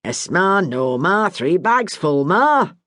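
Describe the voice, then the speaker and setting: A woman's voice: on the low side, very animated, slow, a bit bright, no echo, studio quality, full character voice. A woman voicing a good-natured young man, a would-be sailor, talking in a teasing, easygoing way.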